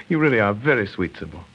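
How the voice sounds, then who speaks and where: An actor playing a suave, weary husband with a dry, clipped, ironic way of talking in a radio play. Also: male voice, lowish voice, big pitch swings, brisk, husky, lo-fi sound, full character voice.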